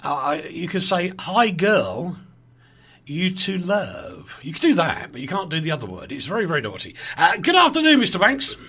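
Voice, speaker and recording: A man's voice: on the high side, normal speed, breathy edge. A man hosting a casual chat show, teasing his co-hosts and giggling as he talks. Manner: teasing, giggly, lively banter. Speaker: podcast host.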